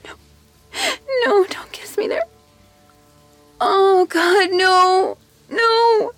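A woman playing a captive fairy-tale princess in an intimate audio drama, breathy and tearful, pleading yet defiant. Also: extremely high pitch, steady tone, extremely slow, a bit bright, no echo, soft background music, full character voice. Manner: breathy, tearful, gasping, often whispering. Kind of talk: intimate audio roleplay acting.

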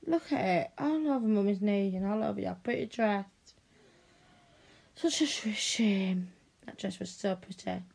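Woman for a teasing, commanding audio roleplay: dark, breathy tone, unhurried. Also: medium pitch. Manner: slow, domineering and teasing, slipping into whispers. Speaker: roleplay performer.

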